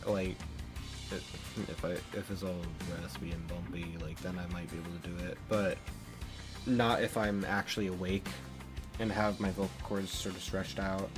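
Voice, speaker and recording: A man's voice: deep voice, level tone, moderate pace, over music. Stammering young man streaming a game. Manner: stammering, restarts, frequent laughter, translating game lines. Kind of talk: casual live-stream commentary.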